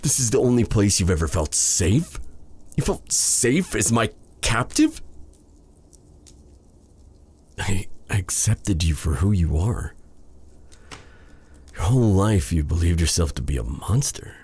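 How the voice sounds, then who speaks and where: A man playing a brooding, tender dark sorcerer in a romantic fantasy audio roleplay, speaking in a halting, intimate way with formal old-fashioned wording and a husky voice. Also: sits low, very animated, exaggerated voice. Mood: incredulous, stern.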